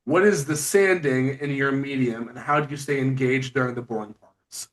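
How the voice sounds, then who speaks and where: A man hosting an informal podcast interview, with a halting, conversational delivery. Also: medium pitch, low-quality recording. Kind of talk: casual interview, reading out listener questions.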